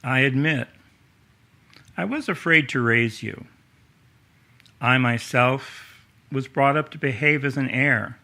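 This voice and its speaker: A man's voice: medium pitch, slow, long pauses, sharp tone. A man acting in a radio drama as a burdened ringmaster king, solemn and confiding, speaking like a father to his heir. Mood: solemn confession.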